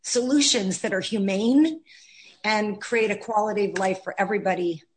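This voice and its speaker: Female guest, actress, mid-pitched voice, animated and bursting with talk. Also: moderate pace, a little hiss or hum, rough recording. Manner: animated, talks in bursts with restarts. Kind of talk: casual interview chat.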